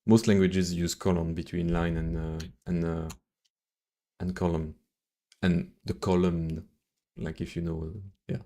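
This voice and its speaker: A man's voice: sits low, level tone, measured pace, mellow. A man with a French accent, a native French speaker, thinking aloud in casual, hesitant mutters as he live-streams his programming.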